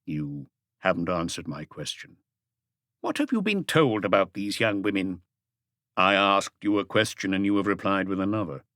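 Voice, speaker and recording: A man voicing a patient, methodical police detective in an audiobook, formal and deliberate as he questions. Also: low voice, sing-song, fairly bright tone, dry recording, studio quality. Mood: insistent. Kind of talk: audiobook character dialogue.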